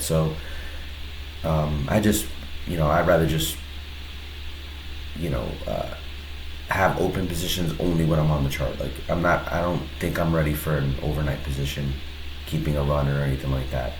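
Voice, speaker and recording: A man with a low, deep voice and a stuffy head cold talks through a trade in a relaxed, halting way, often pausing and starting over. His voice sounds slightly nasal. The recording has a roomy echo with a faint hiss of background noise. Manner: relaxed, hesitant, many pauses and restarts. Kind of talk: casual screen-recorded trade breakdown.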